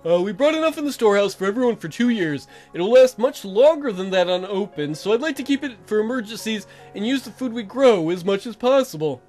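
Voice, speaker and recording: A man acts out a colony mayor from a video game. The official is practical, informal and a bit goofy, explaining haltingly in a high, lively voice. Music plays underneath. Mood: official, helpful.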